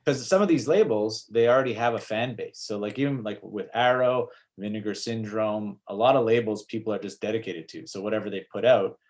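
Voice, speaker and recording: A man's voice: on the low side, brisk, few pauses, darkish tone. A man on a video panel speaking thoughtfully about film labels, using "like" as filler. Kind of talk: casual panel discussion.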